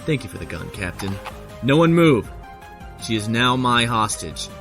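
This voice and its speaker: An actor playing a defiant captive military lieutenant in a science fiction audio drama. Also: male voice, medium pitch, sing-song, normal speed, a bit bright, faint music behind. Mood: forceful. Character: defiant male military lieutenant held prisoner.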